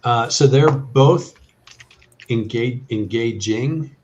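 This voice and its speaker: A man narrating a tabletop game as game master in a halting way, thinking aloud, on an online call. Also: medium pitch, a little mellow, highs cut off, a little hiss or hum.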